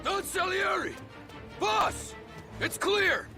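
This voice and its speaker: A man's voice: very high-pitched, slow, gravelly, heavily acted. An actor playing a loyal, tough young mobster in a video game cutscene, deferential and clipped toward his superior. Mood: urgent. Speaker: mafia henchman. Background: music.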